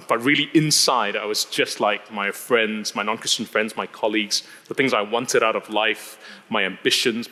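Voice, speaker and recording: A man from Singapore telling his personal story as an interview guest, haltingly, repeating words and phrases. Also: medium pitch, pushed and bright. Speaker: interview guest giving testimony. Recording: reverberant hall.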